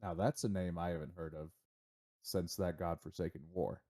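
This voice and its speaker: A man's voice: sits low, fairly even intonation, moderate pace, warm tone, voice pushed down. A man voicing a hostile, bitter gunman who interrogates and accuses in a tabletop game. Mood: grave.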